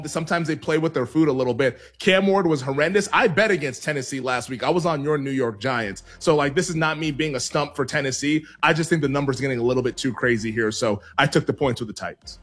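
A man hosting a sports betting show, talking quickly with lots of fillers and frequent laughs. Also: a little high. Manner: quick, excitable, heavy on "like", laughs often. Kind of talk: casual sports betting chat.

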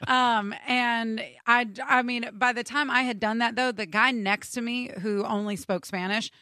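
An American woman co-hosting a radio show, telling a story in an animated, casual way. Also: on the high side, unhurried, no room sound, clean studio sound. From United States. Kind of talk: casual chat.

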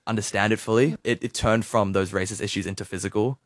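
A man's voice: lowish voice, fairly even intonation, fast, dry recording. Teen guy from new zealand on radio, slangy, says like a lot, super dry mic. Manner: informal, slangy, peppers speech with "like". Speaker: radio guest, young rapper. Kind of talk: casual chat.

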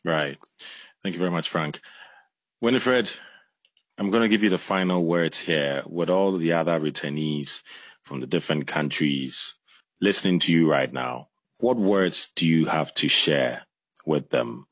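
A man hosting a broadcast interview in a polite, measured way. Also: extremely low pitch, fairly bright tone, slightly rough, dry recording. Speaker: radio host.

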